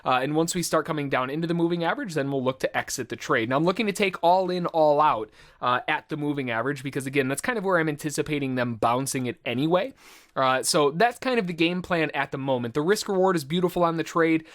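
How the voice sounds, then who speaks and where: A man's voice: fairly high pitch, fast talker, a bit bright. A man working as a trading instructor, giving informal, explanatory live commentary full of trading jargon in a conversational way.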